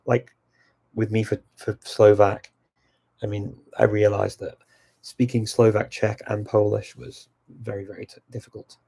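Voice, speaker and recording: A man hosting a casual live Q&A, answering viewer questions with relaxed, reflective advice. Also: lowish voice, creaky, breathy edge.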